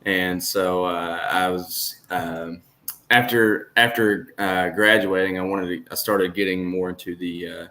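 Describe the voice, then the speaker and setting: Deep-voiced young man. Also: steady tone, unhurried, bright, forceful tone. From Kentucky. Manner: laid-back, with easy laughs and fillers. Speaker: distiller guest. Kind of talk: casual interview chat.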